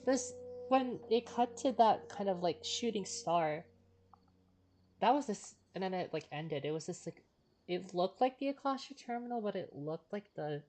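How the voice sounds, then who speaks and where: A woman's voice: mid-range voice, expressive, normal speed, a little mellow, a little hiss or hum. A woman giving casual live reaction commentary while playing a video game as a streamer. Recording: game music behind the voice.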